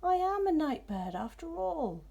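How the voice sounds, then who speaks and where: A woman's voice: very high-pitched, very animated, unhurried, gravelly, warm tone, voice pushed up, heavily acted. A woman voicing an eager, timid young baby owl boy in a children's storybook. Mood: wondering. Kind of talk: children's picture book reading.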